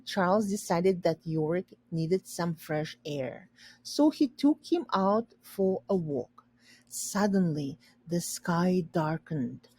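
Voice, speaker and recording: A woman teacher reading a storybook aloud, pausing to explain and rephrase words simply for learners. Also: lowish voice, mellow, slightly rough.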